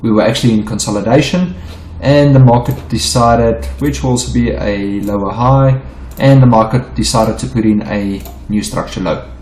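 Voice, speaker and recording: A man's voice: medium pitch, normal speed, darkish tone, echoey room, a little hiss or hum. A middle-aged South African man whose first language is Afrikaans, walking through trading charts in an unedited lesson, stumbling and repeating himself as he explains. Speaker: forex trading teacher. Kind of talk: unedited screen-share chart walkthrough.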